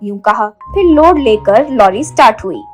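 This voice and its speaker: A woman's voice: fairly high pitch, lively intonation, moderate pace, breathy edge, soft background music. A woman storyteller delivering scripted narration of a story.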